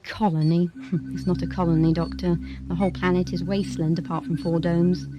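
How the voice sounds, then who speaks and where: A woman playing a brisk, sardonic space station doctor in an audio drama, dry and assured. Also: lowish voice, flat delivery, muffled recording, lightly acted. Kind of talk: radio drama acting. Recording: hissy amateur 1980s audio drama tape.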